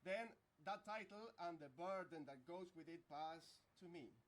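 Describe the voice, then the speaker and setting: A man playing a mournful widowed professor in a comic farce, speaking earnestly, haltingly and with melodrama. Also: on the high side, animated, a bit bright.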